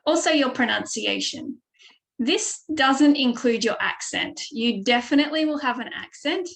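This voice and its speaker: A woman's voice: high voice, expressive, normal speed, creaky, a bit bright. An Australian woman giving a webinar lesson as a speaking tutor over a video call mic, explaining steadily with frequent ums. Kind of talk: online webinar presentation.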